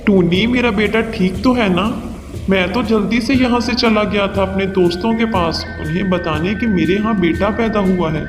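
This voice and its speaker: Man voicing a high-pitched domineering cartoon father bird. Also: moderate pace, natural voice. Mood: eager. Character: harsh, domineering father bird who favours sons. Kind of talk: Hindi cartoon voice acting. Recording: reverberant hall sound over music.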